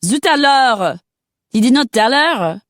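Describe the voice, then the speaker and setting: A woman's voice: high voice, expressive, slow pace, no room sound, clean studio sound, pitched above the performer's own voice. A woman voicing a vain, jealous Frenchwoman from Paris with a French accent and dramatic, haughty delivery.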